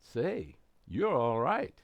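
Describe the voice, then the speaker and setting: A woman's voice: a bass voice, big pitch swings, slow pace, creaky, no room sound, full character voice. A woman acting a brash, chatty, eager young man on a blind date in a radio comedy. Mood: cocky, eager.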